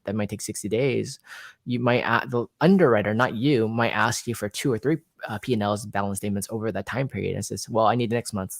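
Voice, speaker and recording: A man's voice: lowish voice, gravelly, dry recording, studio quality. A man hosting a casual talk about mortgages, talking in long, loose, rambling sentences. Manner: conversational, rambling with restarts. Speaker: podcast host. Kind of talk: casual conversation.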